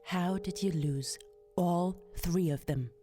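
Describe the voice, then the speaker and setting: A woman's voice: fairly low pitch, slow, mellow, faint music behind. A woman playing a cold, curt, middle-aged female crime boss in an audio drama. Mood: cold, menacing. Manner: curt, commanding.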